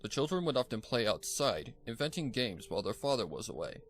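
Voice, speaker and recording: A man narrates a story from a script in a medium-pitched voice. Music plays behind his reading. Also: big pitch swings, brisk. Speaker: fan fiction narrator.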